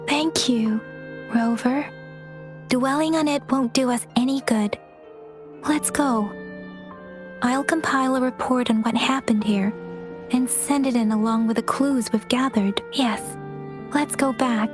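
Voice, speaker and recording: A woman voicing a gentle, earnest young female outrider in a video game cutscene, speaking softly and reflectively with hesitant pauses. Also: fairly high pitch, close to an everyday voice. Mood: gentle resolve. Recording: game music behind the voice. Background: game soundtrack music.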